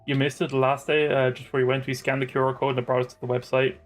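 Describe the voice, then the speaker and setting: A man with a medium-pitched voice chats casually as a let's play host. Game music runs underneath his loose, conversational commentary. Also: little pitch movement, rapid-fire. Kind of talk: casual gameplay chat.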